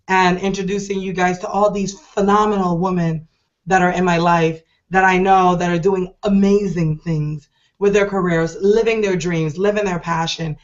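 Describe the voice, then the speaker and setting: A middle-aged woman hosting an online show, hoarse and deep-voiced from a cold, talking in a chatty, rambling, enthusiastic way. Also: highs cut off. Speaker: host. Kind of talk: casual online show talk.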